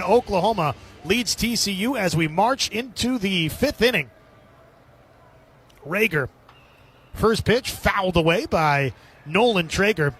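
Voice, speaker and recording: High-pitched man calling baseball on radio. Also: a bit bright. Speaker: baseball play-by-play announcer. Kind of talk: live radio sports commentary.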